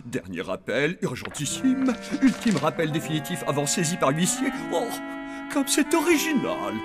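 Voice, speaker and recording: A man's voice: a little high, huge pitch swings, normal speed, music in the background. A male actor voicing an anxious, grumbling zombie husband with a hesitant, muttering, theatrical delivery in a cartoon. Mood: weary grumbling. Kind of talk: French cartoon dub acting.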